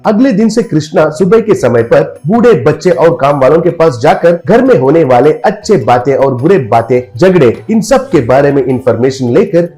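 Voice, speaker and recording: A man's voice: a little high, big pitch swings, moderate pace, few pauses, mellow, low-quality recording. A man narrating a scripted moral story for children as a storyteller. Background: music.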